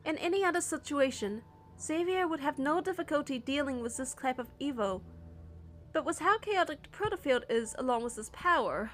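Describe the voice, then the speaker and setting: Woman with a high voice narrating a dramatic story, expressive and suspenseful, music behind. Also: normal speed. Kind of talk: dramatic scripted first-person story narration.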